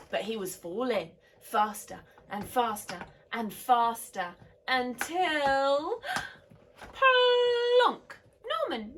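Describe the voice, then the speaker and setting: Woman teacher, unhurried animated read-aloud, slightly higher voice, hissy home recording. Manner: animated and expressive, with soft asides. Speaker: teacher reading a bedtime story. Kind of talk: picture-book read-aloud to children.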